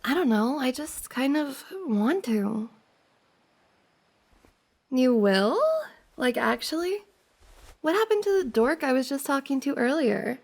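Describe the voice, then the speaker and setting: Woman acting as a giggly teasing girlfriend-to-be, slow n soft asmr roleplay, really creaky, kinda high voice. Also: expressive, full character voice. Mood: shy, cosy. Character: giggly, teasing young woman confessing to her crush. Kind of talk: intimate ASMR roleplay, acted as one side of a conversation.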